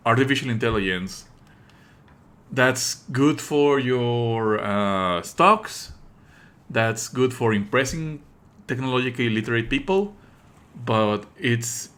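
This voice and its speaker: A man streaming live commentary, explaining in an opinionated, pedantic way with halting delivery and emphatic stresses. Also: medium pitch, fairly bright tone. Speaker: streamer and host.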